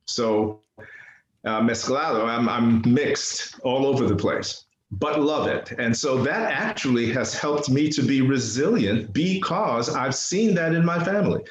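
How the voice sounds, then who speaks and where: A man's voice: medium pitch, a little slow. An older man from New City, telling personal stories casually and animatedly, repeating phrases for emphasis. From New York City, United States. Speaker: podcast guest, artist. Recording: roomy, echoing interview sound.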